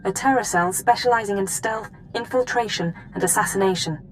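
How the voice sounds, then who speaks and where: Woman as game intelligence officer, medium pitch, cool, precise, formal briefing, faint music bed. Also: brisk, close to an everyday voice, rough recording. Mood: cool, businesslike. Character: composed female intelligence officer, cool and businesslike. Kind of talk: scripted mission briefing.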